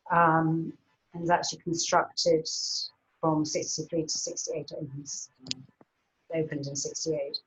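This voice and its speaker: A woman's voice: on the low side, dull audio. A woman in her fifties, an art historian, gives a chatty illustrated talk over slides, with many ums and light laughs.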